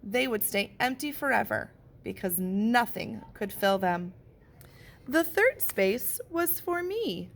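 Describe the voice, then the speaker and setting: A woman, a teacher, reading a picture book aloud and pausing to point out what the pictures show. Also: a little high, very animated, creaky. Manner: gentle, slow storybook delivery with pauses.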